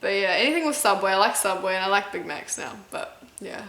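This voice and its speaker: Brief: female vlogger with a blend of New Zealand and American accent. Mid-pitched, chatty, lots of "um"s. Recorded in a room with clear echo. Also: animated, fast, fairly bright tone. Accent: mix of New Zealand and American. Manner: chatty, stops often with "um" and stumbles over names. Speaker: competitive eater and YouTuber. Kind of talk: casual Q&A vlog.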